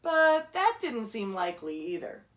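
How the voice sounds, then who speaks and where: A woman reading a children's story aloud in an expressive, instructive way, pausing to ask the listener questions. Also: a little high, distant mic. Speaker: story reader, teacher.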